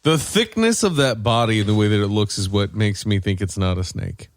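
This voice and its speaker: A man's voice: on the low side, fairly quick, dry recording. A man co-hosting a podcast in casual banter with dry, mock-serious teasing. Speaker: podcast co-host.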